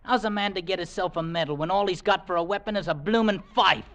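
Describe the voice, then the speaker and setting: A man's voice: sits high, fast talker, over loud music. An actor playing a young army drummer boy, cheeky and boastful, eager to win a medal. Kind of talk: 1940s radio acting. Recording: old radio broadcast with hiss.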